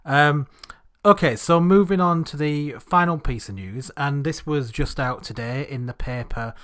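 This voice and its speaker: A young man from Bradford co-hosting a podcast, rambling and laughing as his sentences trail off quietly. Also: fairly high pitch, a little slow. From Bradford, Northern England. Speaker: podcast co-host. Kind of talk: casual chat.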